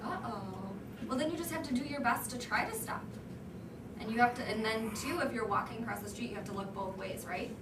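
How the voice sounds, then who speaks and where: A woman's voice: on the high side, brisk. A woman teacher reading a storybook to young children, prompting them with questions and echoing their answers. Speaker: first grade teacher reading aloud. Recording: echoey room, distant mic, background noise.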